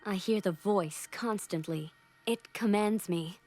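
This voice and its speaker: A woman's voice: mid-range voice, a little slow, darkish tone, soft background music, natural voice. An actress playing an anguished young woman fighting a curse in a fantasy game. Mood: ashamed, troubled. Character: anguished cursed sister fighting dark magic. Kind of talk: fantasy game cutscene acting.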